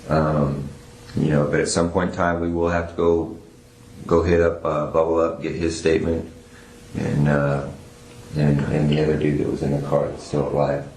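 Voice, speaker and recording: An American man working as a detective, briefing and questioning in a halting, low-key, casual way. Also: monotone, darkish tone. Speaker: police detective. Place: indoor interview room. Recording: echoey room recording, faint noise.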